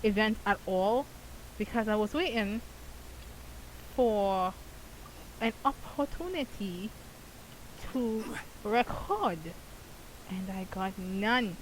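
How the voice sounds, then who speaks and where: A woman's voice: medium pitch, expressive, slow, long pauses, low-quality recording. A woman with a mid-range voice chats casually through a game as its commentator. She rambles conversationally, and a faint hiss runs underneath. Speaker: gaming content creator.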